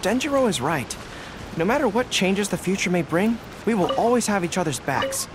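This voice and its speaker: A man playing a caring, steadfast young dog-eared general in a video game, sincere and gently reassuring. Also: sits high, very animated, normal speed, background noise. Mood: resolute, reassuring. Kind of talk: scripted video game voice acting.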